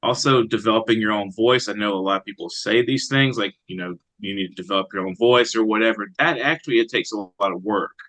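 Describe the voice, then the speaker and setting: A man speaking as an interviewed poet, in a reflective, halting conversational way. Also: fairly low pitch, fairly bright tone, no echo. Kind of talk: conversational interview with brief poem reading.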